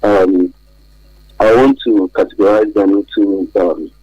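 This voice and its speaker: A man with a Nigerian accent explaining things as an interview guest on radio, speaking haltingly and deliberately, often pausing to find words. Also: lowish voice, fairly even intonation, dark tone, dry recording, poor audio.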